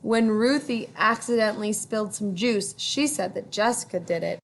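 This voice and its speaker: A woman teacher reading a picture book aloud to children, gently stopping to ask them questions. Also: mid-range voice, slight background noise. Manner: gentle, pauses to ask young listeners questions.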